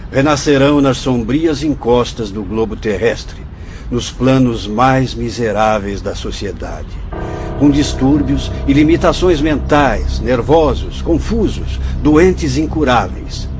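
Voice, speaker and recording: A Brazilian man acting in a radio drama as a solemn, fatherly spirit doctor who guides visitors through a hospital, speaking formally and didactically in measured phrases. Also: medium pitch, lively intonation, a little mellow, close to an everyday voice. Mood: grave, instructive. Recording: dull lo-fi audio, faint noise.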